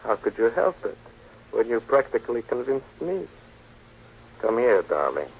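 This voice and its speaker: Scheming male paramour, old radio drama. Suave, flattering, animated, with tinny, noisy vintage sound. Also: mid-range voice, unhurried, vocal fry, exaggerated voice. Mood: flattering, amorous. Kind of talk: 1940s radio drama acting. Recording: thin, noisy 1940s radio recording.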